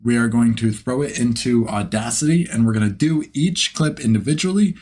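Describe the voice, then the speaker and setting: A man's voice: mid-range voice, fairly quick, a little mellow. A man talking casually and informally as a vlog host, thinking aloud in a self-deprecating way. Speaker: host, beginner voice actor. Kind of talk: casual vlog chat to camera.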